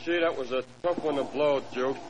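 An actor playing a simple, excitable, contented pit mechanic in a 1940s radio drama, speaking in folksy, ungrammatical slang. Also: male voice, mid-range voice, moderate pace, dull audio, close to an everyday voice. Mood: placating. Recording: very noisy old radio recording.

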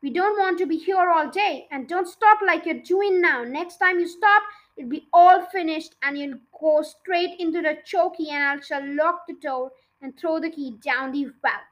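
A woman voicing a big, furious headmistress who barks orders and shouts at a child. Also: very high-pitched, steady tone, brisk, hardly any pauses. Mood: bullying. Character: bullying, furious female headmistress, big and harsh.

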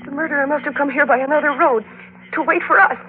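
A woman's voice: sits high, steady tone, fast, close to an everyday voice. A woman playing a frightened, exhausted young bride in a 1940s radio thriller, faltering and pleading. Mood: anxious. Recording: tinny old radio with music.

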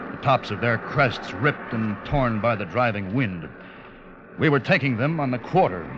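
Guy playing gruff american ship officer, old radio drama, muffled w lots of noise. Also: medium pitch, lightly acted. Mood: grave narration. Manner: clipped, brusque orders. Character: gruff, brisk ship's chief officer. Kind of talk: 1950s radio drama acting, with first-person narration.

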